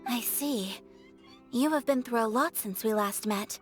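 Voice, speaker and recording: Woman voicing a composed young noblewoman, formal measured speech, slightly high voice, faint music. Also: close to an everyday voice. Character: poised young noblewoman, calm and thoughtful. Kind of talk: game cutscene dialogue.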